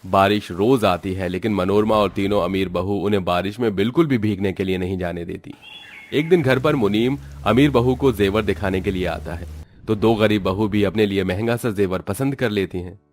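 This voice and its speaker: A man's voice: fairly low pitch, normal speed, a little mellow, slight background noise. A man giving scripted narration of a story as its narrator.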